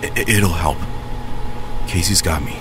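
A man voicing an injured but caring mutant turtle brother in a fan comic dub, halting and soothing. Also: low-pitched, background noise. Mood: soothing, strained.

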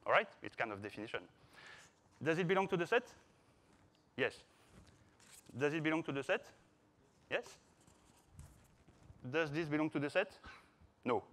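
Playful Frenchman speaking on stage, higher-pitched voice. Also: lively intonation, normal speed, pauses often, fairly bright tone. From France. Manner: playful, informal, calls out to the audience. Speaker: conference speaker, software developer. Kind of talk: conference talk to an audience. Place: large conference hall stage, indoors.

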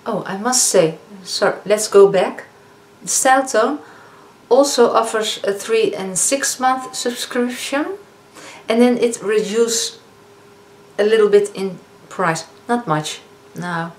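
A woman's voice: medium pitch, slow pace. Woman, 50s, Dutch accent, beauty vlogger, chatty, self-correcting, echoey living room. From Netherlands. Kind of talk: casual product review. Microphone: camera in front of a seated speaker. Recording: echoey room with faint hiss.

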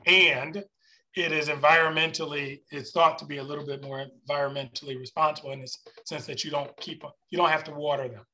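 A man chairing a formal meeting over a video call, explaining things in a measured way. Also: a little high, flat delivery, sharp tone, rough recording.

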